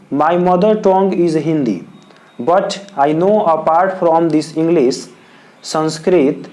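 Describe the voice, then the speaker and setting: A man from India teaching as a tutor, dictating answers slowly and repeating each point in several wordings. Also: on the high side, a little mellow. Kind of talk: exam answer lesson.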